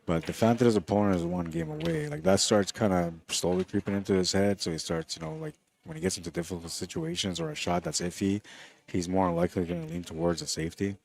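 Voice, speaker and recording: A man giving relaxed, conversational live commentary on a pool match, talking quietly and low. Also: fast talker, creaky.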